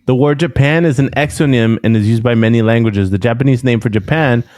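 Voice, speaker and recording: A man co-hosting a podcast in a middle-pitched voice. He rambles and restarts his sentences as he talks. The sound is close and dry. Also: fairly quick, a little mellow, no echo. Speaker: podcast co-host. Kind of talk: casual chat.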